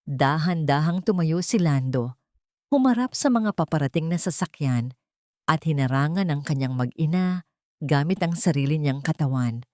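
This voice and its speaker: A woman's voice: sits low, animated, moderate pace, darkish tone, dry recording, clean studio sound. A woman delivering dramatic scripted narration for an audio drama, in a solemn, emotive storytelling manner.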